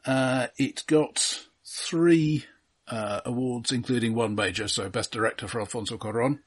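A man co-hosting a film podcast, talking casually in a measured, hesitant way with dry understatement. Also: mid-range voice, husky.

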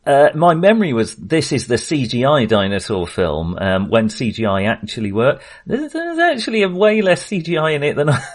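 A man's voice: mid-range voice, sing-song, normal speed, few pauses. Male podcast host, middle-aged, for informal movie discussion with a joking, self-mocking manner. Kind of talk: casual film discussion.

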